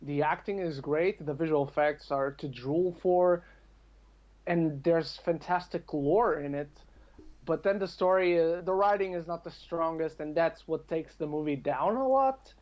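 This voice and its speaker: A man with a somewhat high-pitched voice gives his opinions as a podcast guest. He is conversational and emphatic. The audio is a little dull and lo-fi. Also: a little slow. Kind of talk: casual, opinionated film discussion.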